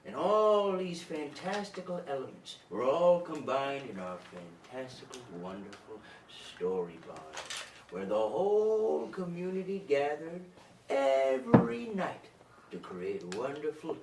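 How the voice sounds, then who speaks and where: Man acting a theatrical village storyteller, sweeping pitch. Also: heavily acted. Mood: fond, grand. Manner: dramatic, folksy, emphatic repetition. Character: wistful male villager and storyteller mourning darker times. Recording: echoey room, far from mic, noisy.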